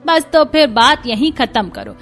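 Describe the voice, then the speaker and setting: A woman's voice: high-pitched, animated, unhurried, fairly bright tone, faint music behind. A woman voicing a firm, peacekeeping middle-aged mother-in-law in a cartoon story, reasoning and admonishing. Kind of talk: Hindi cartoon story voice acting.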